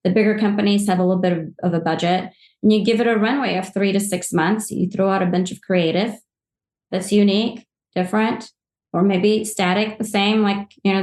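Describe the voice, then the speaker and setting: Excited lady from poland chatting about marketing, kinda rambly, restarts a lot, mid pitch, echoey room. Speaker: social media agency guest. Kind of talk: podcast interview answers. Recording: roomy echo.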